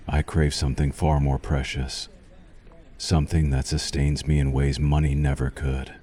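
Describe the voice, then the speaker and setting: Very deep, slow vampire doctor, acted by a man. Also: slight background noise. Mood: hungry, coaxing. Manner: formal, unhurried, teasing, softly menacing. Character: suave possessive male vampire doctor, teasing and quietly menacing. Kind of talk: ASMR roleplay, scripted monologue to the listener.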